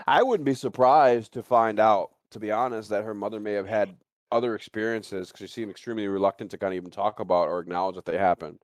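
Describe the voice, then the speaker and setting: A man co-hosting a casual livestream, talking in a halting way and repeating phrases as he thinks aloud. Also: on the low side, fairly even intonation, no echo. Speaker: co-host of a live show.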